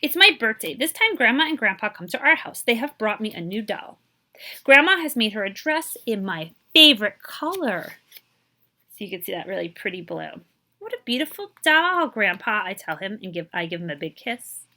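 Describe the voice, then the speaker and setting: A woman reading a children's picture book aloud in an expressive, emphatic way, moving between English and Spanish as she explains for young listeners. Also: mid-range voice, moderate pace.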